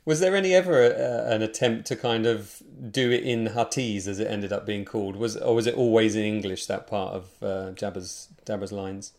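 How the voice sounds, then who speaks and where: A man's voice: on the low side, steady tone, normal speed, hardly any pauses, darkish tone. A man hosting a podcast, asking eager questions and agreeing often. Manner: enthusiastic, leading questions with frequent agreement. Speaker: podcast host. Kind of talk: casual interview.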